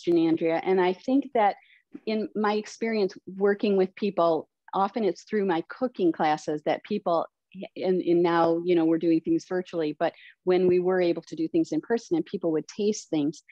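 A woman teaching plant-based cooking, explaining earnestly and gently, with frequent fillers, on a video call from her home kitchen. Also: on the low side, no room sound. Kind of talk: answering questions in a video call. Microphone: webcam at a distance. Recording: slightly dull, dry video-call audio.

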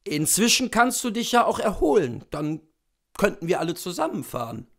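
A man voicing a distressed gentleman husband, earnest and pleading, in a dramatised classic story reading. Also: high voice, big pitch swings, normal speed, full character voice. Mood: coaxing.

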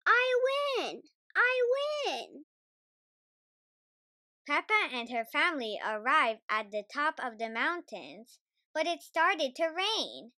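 A woman reading a children's storybook aloud, careful and expressive in her scripted narration. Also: high voice, unhurried, no echo, clean studio sound. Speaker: storybook reader.

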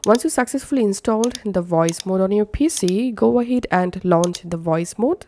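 A woman's voice: mid-range voice, animated, moderate pace, dark tone, slight background noise. Female tutorial narrator, mid-range voice, step-by-step instructional delivery.

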